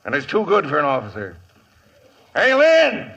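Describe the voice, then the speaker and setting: An actor playing a blunt, hearty cavalry sergeant in a 1950s Western radio drama. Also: male voice, a little high, wildly swooping pitch, moderate pace, gravelly, fairly bright tone, husky, heavily acted. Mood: hearty, excited. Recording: dull 1950s radio broadcast recording.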